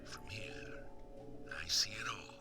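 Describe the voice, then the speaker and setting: An actor playing a cryptic, scheming old man in a video game, speaking slowly in a whispery, lecturing way. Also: lowish voice. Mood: menacing whisper. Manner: slow, measured, lecturing. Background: game music.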